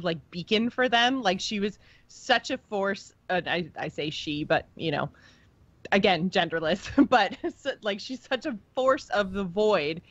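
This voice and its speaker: A woman chats casually as a podcast co-host, slangy and enthusiastic. Her voice sits at a middle pitch. The recording is close and dry, though a little dull and lo-fi. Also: a bit bright, dry recording. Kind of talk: casual chat about game lore.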